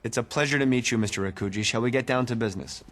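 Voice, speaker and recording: An actor playing a condescending, businesslike corporate executive in a video game, polished and sardonic. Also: male voice, medium pitch, rapid-fire, heavy background noise, close to an everyday voice. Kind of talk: video game cutscene acting.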